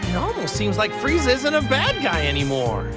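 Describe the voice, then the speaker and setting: An upbeat, naive fighting hero from an anime game. The delivery is casual and carefree, with lively pitch movement over music. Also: male voice, normal speed. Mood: easygoing, cheerful. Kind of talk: anime video game voice acting. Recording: music under the voice.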